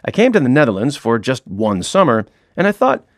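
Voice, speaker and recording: A man's voice: mid-range voice, wildly swooping pitch, fairly quick, no room sound, clean studio sound. A middle-aged man reading his own comic audiobook in a wry, self-mocking storytelling manner.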